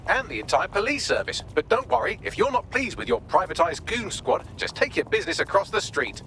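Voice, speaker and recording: A man's voice: sits high, sing-song, a little fast, fairly bright tone, slight background noise, exaggerated voice. A British man playing a witty, sardonic artificial intelligence assistant in a video game, articulate and breezy with dry sarcasm.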